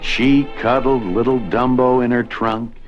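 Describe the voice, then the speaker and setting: A man narrating a children's read-along storybook in an expressive, deliberate storytelling manner. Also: medium pitch, highs cut off. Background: music.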